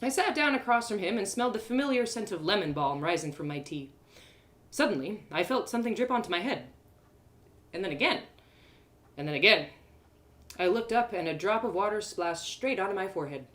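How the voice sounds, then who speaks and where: Female storyteller, medium register, highly expressive melody, narrating fantasy in the first person. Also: brisk. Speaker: storyteller, host.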